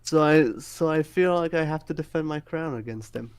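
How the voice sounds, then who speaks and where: A man's voice: fairly high pitch, moderate pace, clear, resonant tone, no echo. A man with a Czech accent giving casual answers as an interviewed competitor. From Czech Republic. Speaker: race winner being interviewed.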